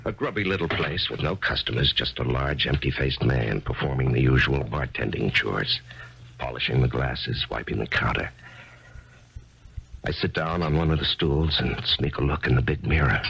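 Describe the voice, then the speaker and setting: An actor playing a despondent, withdrawn lonely man who talks in a hesitant, halting way, in a 1970s radio drama. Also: very deep voice, gravelly, fairly bright tone, slightly rough, heavily acted. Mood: brooding. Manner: hesitant, halting, self-doubting. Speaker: lead actor. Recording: dull 1970s radio broadcast.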